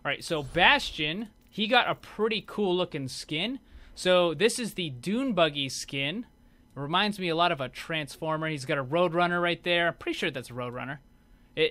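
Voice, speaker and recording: Male gaming host, fairly high and very bright tone, informal explanatory commentary. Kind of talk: casual video game showcase commentary.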